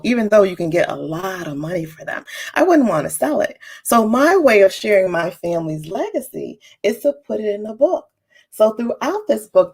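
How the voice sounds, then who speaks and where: A woman who is an author, presenting a storybook in an expressive, informal read-aloud way. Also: mid-range voice, breathy edge. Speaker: children's author reading their own book aloud.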